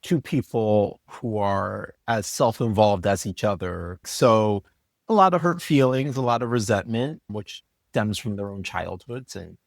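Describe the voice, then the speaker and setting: A man's voice: on the low side, unhurried, vocal fry, no echo, clean studio sound. A man chatting casually as an interview guest, explaining things as a story editor would.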